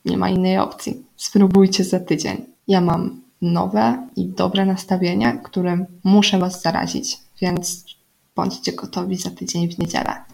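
A woman's voice: on the low side, little pitch movement, unhurried, gravelly, a little mellow, low-quality recording. A young woman hosting a radio segment as a personal, diary-style monologue, speaking directly to listeners as if confiding in them. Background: background music.

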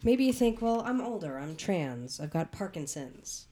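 A woman's voice: lowish voice, very animated, measured pace, warm tone. A woman playing a skeptical confidante in a radio play, blunt and probing, asking dry, direct questions. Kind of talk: naturalistic comedic radio play acting.

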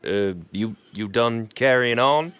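A man's voice: mid-range voice, takes it slowly, a bit bright, smooth, dry recording. A man playing a brooding, condescending cowboy in an audio drama, with an American accent and a dismissive drawl. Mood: gruff, condescending.

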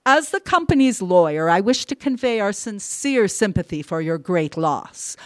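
A woman's voice: medium pitch, lively intonation, dry recording, lightly acted. A woman voicing a businesslike company lawyer in a story, speaking with formal, official politeness. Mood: formal sympathy. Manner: formal, brisk condolence. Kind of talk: storybook character acting.

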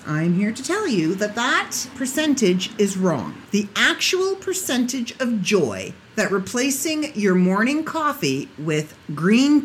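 A woman hosting a casual solo podcast, chatty and joking, her voice getting a little hoarse. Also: mid-range voice, slight background noise. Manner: chatty, jokey, laughs at own asides. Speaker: podcast host.

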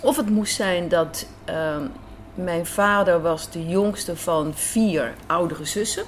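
A woman's voice: lowish voice, slow pace. A woman with a Netherlands Dutch accent, answering interview questions conversationally and searching for her words. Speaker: radio drama writer and director, interview guest. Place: café. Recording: clear café background noise.

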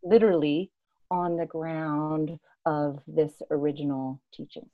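A woman's voice: sits low, fairly even intonation, a little slow. Muffled recording, woman telling reflective stories. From born and raised in East Africa. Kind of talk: reflective personal storytelling.

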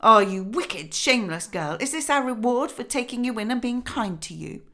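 A woman reading a fairy tale voices the lady of the house, who speaks loudly and bossily. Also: mid-range voice, lively intonation, moderate pace, vocal fry. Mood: scolding. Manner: loud, emphatic, bossy. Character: the lady of the house, brisk and commanding.

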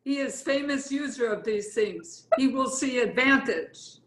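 Woman acting slow, high-voiced blunt Russian model. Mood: matter-of-fact. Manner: deadpan, clipped broken English. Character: blunt, brazen foreign woman modeling for artists. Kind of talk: play reading. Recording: roomy, echoey sound.

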